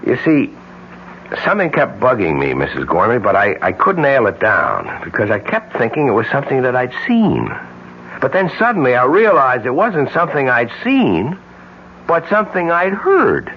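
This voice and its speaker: A determined, tense American hero in a radio mystery play. His delivery is deliberate and emphatic, rising and falling widely. The recording is muffled, with some noise behind it. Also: mid-range voice, wildly swooping pitch. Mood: earnest, explaining. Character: Mel, tense, determined man explaining a mystery. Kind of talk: old-time radio mystery drama acting. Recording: muffled, noisy vintage radio drama recording.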